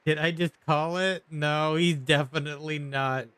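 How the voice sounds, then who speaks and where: Smooth, higher-voiced male gamer. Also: fairly even intonation, normal speed, a bit bright, dry recording. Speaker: gaming streamer. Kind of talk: casual let's play commentary. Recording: game music behind the voice. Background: faint video game music.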